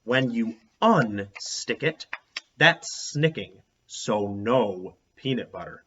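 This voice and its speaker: A man voicing a fussy, bossy schoolgirl who worries about germs, with emphatic drawn-out words in a storybook reading. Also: medium pitch, lively intonation, fairly bright tone, slight background noise. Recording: dull, lo-fi sound.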